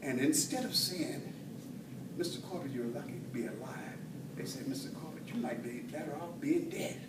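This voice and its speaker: A man delivering a humorous tall-tale speech to an audience in a theatrical way, with dramatic pauses and swells. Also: on the high side. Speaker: speech contest storyteller at a Toastmasters club. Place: indoor room with an audience. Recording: roomy, distant mic, faint noise.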